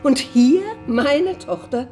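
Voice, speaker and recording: A woman's voice: high-pitched, expressive, takes it slowly, darkish tone, faint music behind. An actress playing a distraught, fierce noblewoman and mother, declaiming tragically and accusingly in a mid-century radio drama. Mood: suspicious, accusing.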